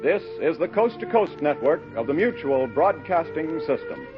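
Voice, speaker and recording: Animated 1930s radio announcer man, tinny sound. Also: on the high side, normal speed, over loud music.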